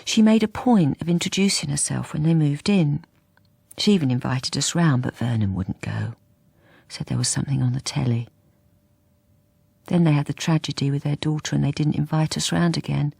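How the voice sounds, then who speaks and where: An actress playing a troubled middle-aged British wife who speaks hesitantly and confidingly in a radio drama. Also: female voice, sits low, moderate pace, creaky, warm tone, husky, no echo, exaggerated voice. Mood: wry, confiding. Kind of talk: intimate first-person radio drama narration and dialogue.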